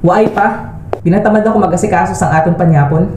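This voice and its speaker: A woman's voice: on the low side, fast, a little hiss or hum, voice pushed up, lightly acted. A woman playing a lazy, domineering boyfriend in an amateur radio drama, snappish and dismissive, with a Western Visayan Filipino accent. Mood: sullen. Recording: roomy echo, loud backing music.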